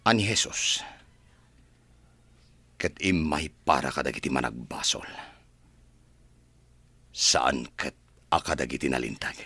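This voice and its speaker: Man acting deep, gravelly, remorseful prisoner. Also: animated, a little slow, pauses often, sharp tone, dry recording, heavily acted. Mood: earnest, reflective. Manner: earnest, emotive. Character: remorseful male prisoner seeking God and forgiveness. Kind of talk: Ilocano radio drama acting.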